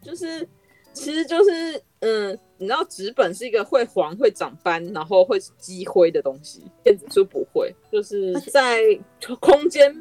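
A woman's voice: on the high side, very animated, moderate pace, darkish tone, soft background music. A woman with a Taiwanese accent in a casual podcast conversation, explaining things in an encouraging, persuasive way.

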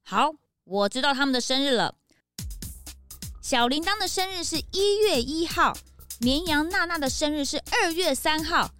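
Young animal sleuth, high-pitched, swooping intonation, inquisitive, excitable, dry close recording, children's audio drama. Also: female voice, moderate pace, no room sound, faint music behind. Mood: earnest, deducing. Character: eager young detective sidekick Peanut, animal child. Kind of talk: children's detective radio drama.

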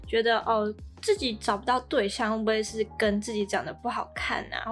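A woman in her early twenties with a Taiwanese Mandarin accent co-hosts a casual, explanatory podcast, drawing out the particles at the ends of her phrases. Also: mid-range voice. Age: early 20s. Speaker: podcast co-host. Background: background music.

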